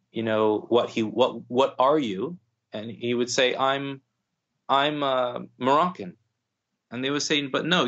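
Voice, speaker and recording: Male podcast guest, measured and thoughtful, a little lo-fi. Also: medium pitch, bright, forceful tone. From United States. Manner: thoughtful, many restarts and fillers. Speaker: guest scholar. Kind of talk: podcast conversation.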